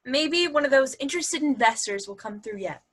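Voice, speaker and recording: A woman playing a supportive, dryly humorous wife with a husky voice in a table read. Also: high voice, animated, normal speed, fairly bright tone. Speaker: actor in a virtual table read. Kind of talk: stage comedy-drama table read.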